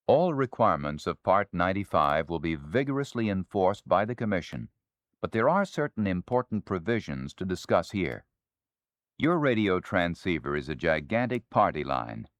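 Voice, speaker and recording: American guy narrating a 70s how-to record, low voice, formal and authoritative, dry sound. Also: no echo. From United States. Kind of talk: scripted instructional narration. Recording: dry 1970s spoken-word LP.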